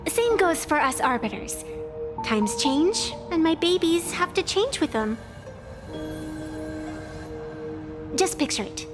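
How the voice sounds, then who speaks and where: A woman's voice: very high voice, very animated, a little slow, music in the background, heavily acted. A woman playing a proud, playful young female arbiter in a video game, with a theatrical, boastful, lightly indignant manner.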